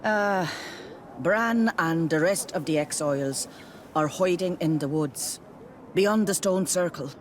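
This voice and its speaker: A woman playing a guarded, protective village mother in a video game, earnest, worried and deliberate in her plain speech. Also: lowish voice, slight background noise. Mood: worried, resigned. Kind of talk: video game dialogue acting.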